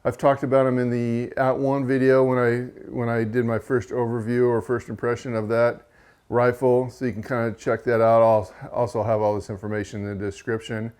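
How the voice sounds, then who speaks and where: A man hosting his own channel, giving a casual, unhurried product review in a monotone voice, with frequent fillers and self-corrections. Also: mid-range voice, clean tone. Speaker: rifle reviewer and channel host.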